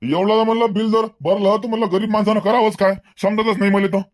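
A man's voice: high voice, fairly even intonation, quick pace, close to an everyday voice. A man voicing a talkative, level-headed villager in a comedy cartoon, earnest and wordy, with a Varhadi Marathi accent. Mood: aggrieved, exasperated. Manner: earnest, wordy, repeats himself.

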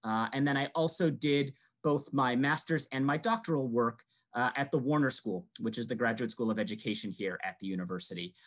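A man's voice: mid-range voice, brisk. Man hosting a video-call webinar. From Rochester, New York. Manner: friendly, frequent fillers and restarts. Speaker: admissions director hosting a webinar. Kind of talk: scripted-free webinar presentation. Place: small room indoors. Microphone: video call headset.

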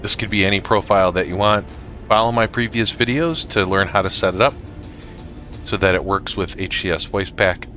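A man narrating a casual software tutorial for gamers in a halting way, often pausing mid-sentence. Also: low voice, faint music behind. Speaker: gamer making a tutorial video.

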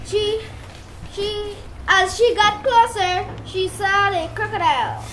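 A female narrator reads a scripted puppet-show story. The voice is very high and bright, in a roomy space with some noise. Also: slow.

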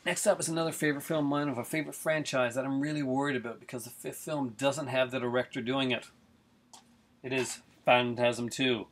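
A man's voice: medium pitch, fairly even intonation, brisk, fairly bright tone, slight background noise. A middle-aged man talking casually and eagerly about his film collection, rambling with many fillers, recorded in his living room on a webcam. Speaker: collector reviewing films. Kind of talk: casual video review. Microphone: webcam at close range.

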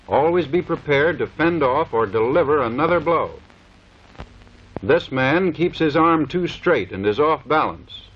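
A man giving scripted, matter-of-fact instructional narration for a training film. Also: a little high. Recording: dull lo-fi 1930s film soundtrack.

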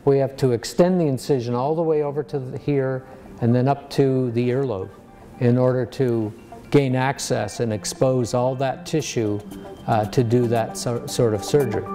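An American man in his sixties, a surgeon explaining medicine to a lay audience in a measured, plain-spoken way. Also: mid-range voice, fairly even intonation, warm tone, soft background music. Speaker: surgeon giving a public lecture. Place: medium-sized lecture room.

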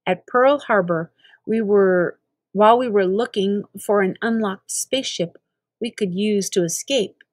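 A woman's voice: medium pitch, measured pace, dry recording. An older woman with an American accent reading a children's novel aloud expressively. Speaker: storybook reader.

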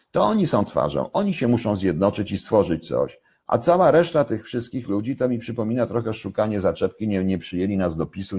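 A man in his sixties from Poland giving unscripted live commentary, halting and deliberate, repeating phrases for emphasis, with a husky voice. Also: deep voice, a little mellow. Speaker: commentator answering viewers' questions. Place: indoors, home kitchen.

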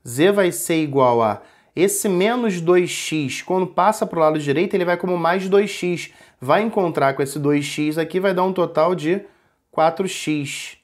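A man in his forties, a math teacher patiently working through an exam problem step by step, speaking to a webcam at home. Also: fairly high pitch. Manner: patient, repeats and points at the board.

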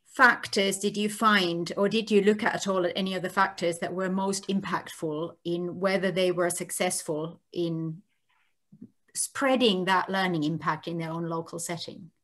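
A woman on a video call asking a question in a measured way, searching for words. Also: fairly low pitch, fairly even intonation, fairly bright tone.

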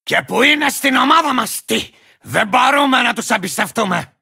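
A man voicing a manic, boastful, hot-tempered little warrior creature in a video game, with a gravelly, cracked voice and a ranting, barking delivery. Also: extremely high pitch, animated, sharp tone, full character voice. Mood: gruff, bloodthirsty. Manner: ranting, pompous, barking, bursting into cackles. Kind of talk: cartoonish video game character acting.

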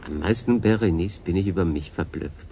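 An actor playing a thoughtful, troubled, earnest stranded sailor in a radio play, with deliberate delivery and dramatic pauses. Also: male voice, deep voice, heavy background noise, natural voice. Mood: pensive. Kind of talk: German radio play acting.

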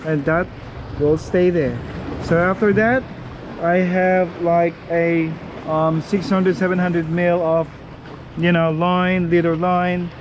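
Slow-talking man fishing by the sea. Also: high voice, highs cut off. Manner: easygoing, chatty, laughs and whoops when excited. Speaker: fishing vlogger. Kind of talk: casual fishing vlog commentary. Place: outdoors on a rocky breakwater by the sea. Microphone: head-mounted action camera. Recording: wind and surf noise outdoors.

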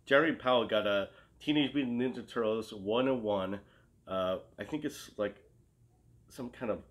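Low-voiced man chatting casually. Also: fairly bright tone. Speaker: co-host of a comic collecting show. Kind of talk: casual chat. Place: living room.

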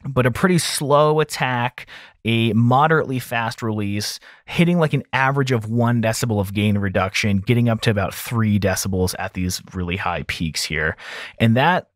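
A man talking informally and thinking aloud as an audio engineer in a software tutorial voice-over. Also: mid-range voice, normal speed, dry recording, clean studio sound.

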